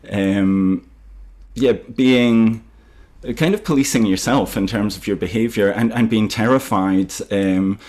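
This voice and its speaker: A Scottish man, an author, talking about his writing in a festival interview, halting with many fillers and restarts. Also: on the low side, a little mellow. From Scotland.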